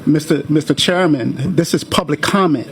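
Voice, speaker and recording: A man's voice: a little high, normal speed, far from the mic, noisy background. A man speaking formally as a senator in a hearing, emphatic and insistent, repeating himself for weight. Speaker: senator on the committee. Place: government committee hearing room.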